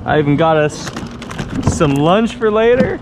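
A young man chatting excitedly and laughing as he fishes from a pier, talking in casual slang. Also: a little high, measured pace. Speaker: fishing vlogger. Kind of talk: casual chat while fishing. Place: outdoors on a concrete bridge pier over a bay. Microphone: action camera. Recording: outdoor action-camera audio with loud wind noise. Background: wind and water.

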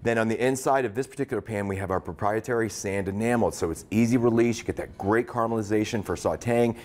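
American guy, middle aged, doing a live kitchen product demo on tv, average pitch. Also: quick pace, a little mellow. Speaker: product demonstrator. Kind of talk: live cooking product demonstration. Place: TV studio kitchen.

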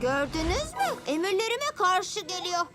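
A very high-pitched cartoon boy villain, pompous and commanding. He boasts like a little genius who expects to be obeyed, over loud music. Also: sing-song, moderate pace. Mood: commanding, suspicious. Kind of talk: children's cartoon dub acting. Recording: loud music bed behind.

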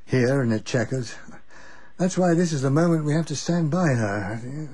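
An actor playing a gruff, weary, affectionate older English husband and father in a radio drama, with blustery old-fashioned turns of phrase. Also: mid-range voice, expressive, moderate pace, a little mellow. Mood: fond, resigned. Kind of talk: naturalistic radio drama acting.

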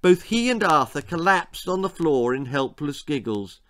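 A man in his sixties reading a children's story aloud in an expressive, theatrical way. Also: on the high side, moderate pace. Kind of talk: dramatic children's story narration. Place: small book-lined room indoors.

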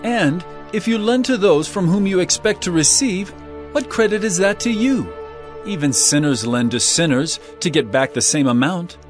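Earnest bible teacher preaching, higher male voice, really expressive and emphatic, music behind. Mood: earnest urging. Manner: solemn, emphatic, measured preaching. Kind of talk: dramatized audio Bible acting.

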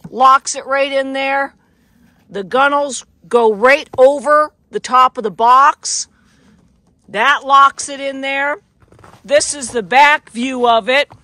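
A woman's voice: high voice, steady tone, takes it slowly, sharp tone, dry recording. A woman who is a wilderness guide and show host, casually showing gear outdoors in the snow and telling a story in a plainspoken, folksy way. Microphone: camera mic, handheld at times.

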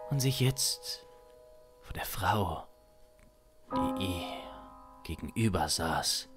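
A young man narrates an improvised audio drama in a very deep voice. He goes very slowly and broodingly, building suspense, with instrumental music clearly behind him. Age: young adult. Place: small home studio, indoors. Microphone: close studio microphone.

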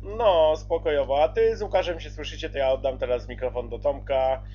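A man's voice: a little high, a little fast, dark tone, breathy edge, muffled recording, soft background music. A man chatting casually as a CB radio operator, passing the conversation between stations. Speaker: CB radio operator relaying calls.

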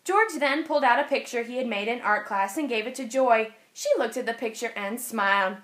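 A woman teacher reading a children's storybook aloud, expressively and with clear phrasing for young listeners. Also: fairly high pitch, fairly quick, a bit bright. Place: indoors, over an open picture book.

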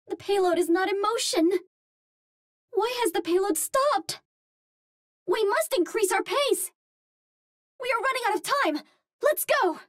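A woman's voice: very high voice, no room sound, studio quality. An actress voicing a cute, earnest young Martian space heroine in a video game, with a light girlish voice and precise, formal wording. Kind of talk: video game hero voice lines.

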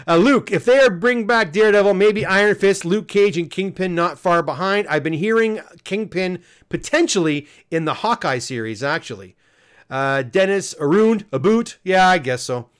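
High-voiced chatty man hosting a livestream. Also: fairly bright tone. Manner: chatty, laughs often, coaxes viewers to hit like. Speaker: livestream host reading viewer chat. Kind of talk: casual livestream chat. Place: home studio room, indoors.

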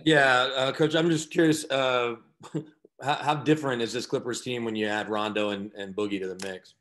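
A male reporter puts his questions to the coach at a press conference. He speaks casually and a little hesitantly, at a middle pitch. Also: steady tone, normal speed, fairly bright tone.

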